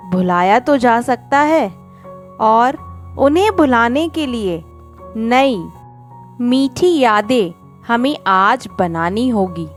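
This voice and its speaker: Slow, high-pitched woman storyteller over music. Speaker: storyteller, channel narrator. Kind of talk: scripted moral-story narration.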